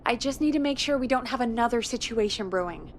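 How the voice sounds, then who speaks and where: An actress playing a friendly radio producer in a horror game, speaking in an earnest, chatty and practical way. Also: female voice, on the high side, lively intonation, fast, slight background noise. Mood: concerned, friendly. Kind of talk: dramatic video game voice acting.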